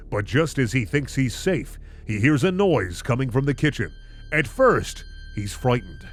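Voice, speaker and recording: Guy reading bad fanfic for laughs, swoopy pitch, sarcastic asides, faint music behind. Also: a bit bright. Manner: incredulous, sardonic asides. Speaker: host reading bad fan fiction. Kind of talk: comedic narration with sarcastic commentary.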